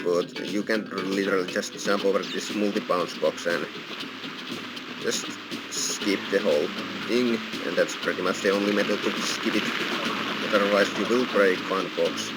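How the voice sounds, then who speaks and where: A man's voice: low voice, expressive, moderate pace, very noisy. A man giving casual walkthrough commentary as host of a gaming video. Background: loud game music.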